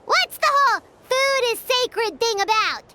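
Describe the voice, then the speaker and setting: A woman voicing a tiny, childlike game companion, bossy and excitable, with a chirpy, exclamatory delivery. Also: very high-pitched, measured pace, a little hiss or hum, exaggerated voice. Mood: skeptical, bossy. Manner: chirpy, exclamatory, refers to self by name. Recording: loud background game music.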